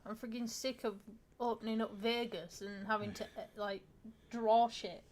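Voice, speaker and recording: A woman in her thirties with a highish voice chats with her partner. She is sweary and giggles often. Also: flat delivery, normal speed, a little mellow, a little hiss or hum. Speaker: co-host, partner. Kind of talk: casual chat.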